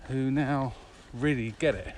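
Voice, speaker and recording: A man in his fifties talks casually as a podcast co-host. His voice sits at a middling pitch, and there is clear outdoor noise behind him. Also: a little slow, darkish tone. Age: 50s. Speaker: podcast co-host, business owner. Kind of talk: casual conversational podcast chat. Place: outdoors at dusk. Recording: clearly noisy outdoor background.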